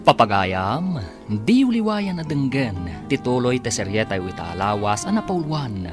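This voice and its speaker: A man's voice: medium pitch, sing-song, moderate pace, music in the background. A man with an Ilocano accent reading a dramatic scripted announcement for a radio drama.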